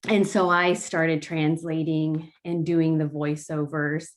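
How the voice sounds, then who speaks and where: Slow, low-voiced woman founder. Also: little pitch movement. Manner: slow-talking, many ums. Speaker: startup founder presenting. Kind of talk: casual pitch talk.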